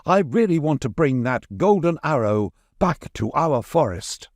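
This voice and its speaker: A man puts on a bold outlaw leader for a children's tale. He calls to his men cheerfully and loudly, raised well above an ordinary talking pitch, with big lively swings. It is rousing and confident. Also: measured pace, no room sound, clean studio sound. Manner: loud, rousing.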